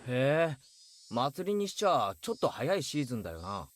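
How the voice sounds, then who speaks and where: A man's voice: mid-range voice, sing-song, racing. A man playing a wry, exasperated teenage boy in a visual novel, talking in a casual boyish way. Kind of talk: Japanese visual novel voice acting. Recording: game music behind the voice.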